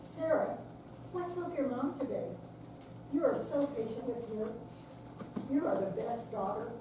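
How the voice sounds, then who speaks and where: A woman's voice: on the high side, expressive, measured pace. An older woman in an amateur staged reading, playing a cheerful, supportive and slightly naive retired friend. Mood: concerned, kind. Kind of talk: amateur readers theater. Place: senior center, recorded from afar. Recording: far-off echoey room, clear background noise.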